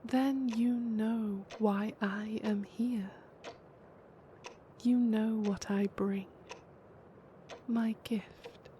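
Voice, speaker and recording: An actress playing a calm, kindly, mysterious stranger who brings death, speaking softly and gently in an audio drama. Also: female voice, fairly high pitch, a little hiss or hum. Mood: solemn. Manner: soft, measured, gentle. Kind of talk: audio drama character acting.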